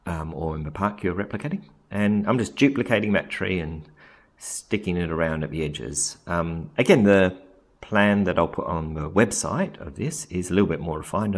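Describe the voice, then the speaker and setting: A man casually narrating a software screen recording, thinking aloud with frequent ums and self-corrections. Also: low voice. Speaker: software tutorial presenter.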